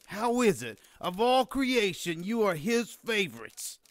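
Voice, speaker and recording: Radio drama: sarcastic, contemptuous non-human informant played by a man, higher-pitched and very animated. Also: slow, no room sound, full character voice. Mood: scornful, mocking. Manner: sarcastic, condescending.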